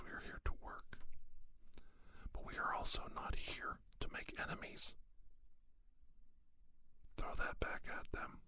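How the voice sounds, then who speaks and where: A middle-aged man hosting an ASMR podcast, giving a reflective monologue slowly and deliberately, repeating phrases for emphasis. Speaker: podcast host.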